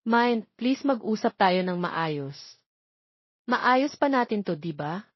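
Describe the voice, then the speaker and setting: An insistent, clingy young ex-boyfriend as acted by a female narrator in a romance audio drama. The voice has a husky edge, and the sound is slightly muffled. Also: medium pitch, lively intonation, takes it slowly, no echo. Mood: pleading. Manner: insistent, pleading. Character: pleading, jilted young man, clingy ex-boyfriend. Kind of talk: dramatic audio story dialogue.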